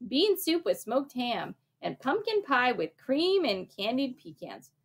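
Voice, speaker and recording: A woman acts out an eager young man who cooks in a storybook tale. The voice is high and earnest, quick to apologise and exclaim. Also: big pitch swings, heavily acted.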